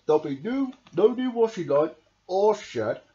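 Lo-fi, high emphatic storybook badger woman acting. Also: very animated, measured pace, warm tone. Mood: urgent. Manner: exclaiming, emphatic. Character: kindly, decisive male badger leader, helpful and earnest. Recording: dull lo-fi home recording.

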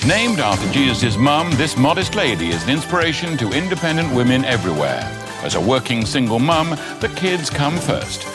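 A man's voice: mid-range voice, very animated, normal speed. A man hosting a comedy debate show, reading scripted links with dry punchlines. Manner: dry, punchline-driven delivery. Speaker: game show host. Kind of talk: scripted comic presenting. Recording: studio with loud crowd and music.

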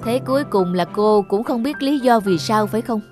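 A woman's voice: mid-range voice, normal speed, darkish tone, close to an everyday voice. This is an irritable cartoon husband and father, voiced by a woman. He comes across as self-important and speaks bluntly and dismissively. Faint music runs underneath. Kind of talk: cartoon dubbing.

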